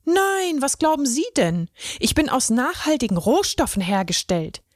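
A woman's voice: high-pitched, very animated, moderate pace, no echo, clean studio sound, pitched above the performer's own voice. Woman doing a shy little straw boy. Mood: earnest, shy. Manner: timid, earnest. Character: shy young boy drinking straw, colorful. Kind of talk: children's story reading.

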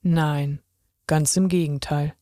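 Woman voicing a deep-voiced kindly pen conductor. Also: fairly even intonation, slow, dry recording, clean studio sound. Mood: reassuring. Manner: gentle, encouraging. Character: kindly male pen ticket inspector with mustache. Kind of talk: children's story reading.

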